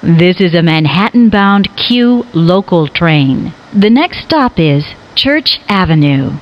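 A woman giving recorded train announcements in a measured, formal way. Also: fairly low pitch, expressive. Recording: dull, noisy recorded transit announcement.

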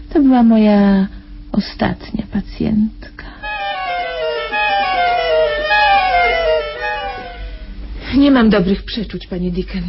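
An actress playing a condescending, superstitious private nurse in a radio drama, coaxing her patient with patronizing endearments. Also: medium pitch. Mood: grave, concerned. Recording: dull, noisy old radio drama recording.

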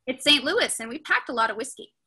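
A woman reads comic parts with a brash, bawdy edge at a table read. Her voice is high, bright and forceful, and her pitch swings widely. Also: big pitch swings, fast talker, slightly rough. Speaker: actor reading several roles.

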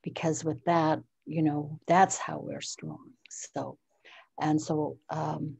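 An older woman from the United States speaking slowly and haltingly, introducing herself on a video call. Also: sits low, little pitch movement, creaky, a little mellow, husky, no echo. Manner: slow, halting, reflective. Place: indoor room.

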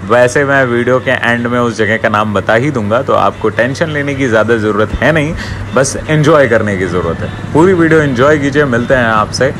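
A man's voice: medium pitch, brisk, background noise. A man, talking casually and enthusiastically to his viewers as a travel vlogger, urging them on. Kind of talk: casual vlog talk to viewers. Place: outdoors, on the road and by a waterfall.

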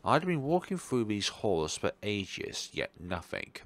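A man's voice: lowish voice, sing-song, unhurried. A man reading a visual novel's story aloud as dramatic narration for a let's play. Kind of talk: dramatic narration of game text.

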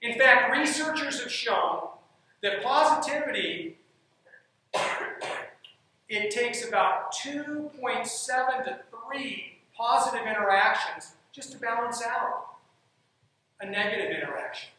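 A man's voice: extremely high pitch, slow, long pauses, pushed and bright. A man giving an emphatic stage talk on leadership and coaching, often repeating his key phrases. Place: dark stage in a large hall. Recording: echoey hall, heard from a distance.

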